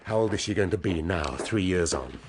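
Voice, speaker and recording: An actor playing a dry, sardonic middle-aged police inspector in a radio drama. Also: male voice, low voice, expressive, moderate pace, noisy background. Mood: weary. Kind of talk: BBC radio drama acting.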